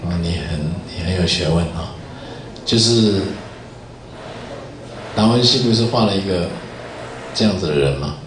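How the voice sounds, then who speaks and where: A man's voice: low-pitched, lively intonation, normal speed, pauses often. A man lecturing to university students, chatting with them and asking them questions. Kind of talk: classroom lecture commentary. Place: university classroom. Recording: echoey classroom with faint hiss.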